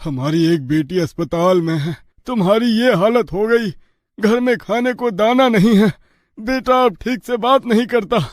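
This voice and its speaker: High-pitched fretful father, played by a man. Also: unhurried, no echo. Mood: worried, suspicious. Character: worried, suspicious father of a struggling family.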